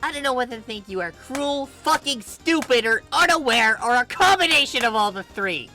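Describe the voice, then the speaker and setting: A furious young woman, acted out by a female narrator tears into people in a raised, forceful voice. She is a protective big sister in a dramatic story reading, sarcastic and cutting, with music underneath. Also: fairly high pitch, animated, normal speed, voice pushed up. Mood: furious, scolding. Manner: scolding, sarcastic, emphatic.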